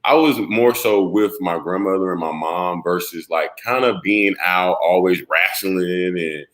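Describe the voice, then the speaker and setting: A man in his thirties from South Carolina with a very low voice. He speaks openly and in a relaxed way as an interview guest, now and then breaking into a laugh. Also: a little slow. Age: 30s. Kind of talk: casual interview.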